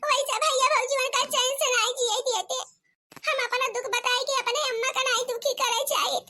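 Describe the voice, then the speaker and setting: A woman's voice: very high-pitched, fairly even intonation, a little fast, sharp tone. A woman voicing a meek, long-suffering village daughter-in-law in an Awadhi accent, plaintive, for a cartoon comedy. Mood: tearful, aggrieved.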